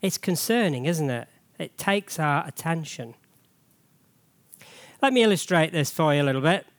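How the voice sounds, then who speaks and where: A man gives a chatty, joking church sermon while fighting a cold that has nearly taken his voice. His speaking voice sits somewhat high. The sound is dry and close. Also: expressive, moderate pace, no echo. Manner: chatty, jokey, frequent rhetorical questions. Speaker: church treasurer giving a sermon.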